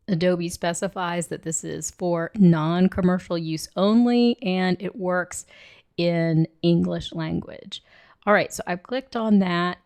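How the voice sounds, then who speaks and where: A woman with a cold and a hoarse voice, talking through a screen-recorded software tutorial and thinking aloud as she clicks. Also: lowish voice. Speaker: illustrator teaching software.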